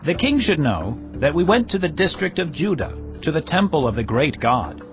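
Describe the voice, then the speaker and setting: An earnest male governor delivers a formal report to his king in a dramatised scripture production. His slightly high voice moves with lively intonation yet stays measured and deferential. Music plays clearly behind a slightly dull recording. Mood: formal, respectful.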